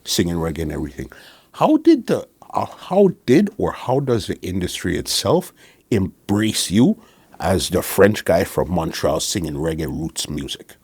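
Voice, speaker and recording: A man's voice: low-pitched, big pitch swings, normal speed, darkish tone, breathy edge, no room sound. A man hosting a podcast interview, chatty and encouraging, often saying "bro" and "yeah.". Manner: chatty, lots of agreeing backchannels, says bro. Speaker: podcast host.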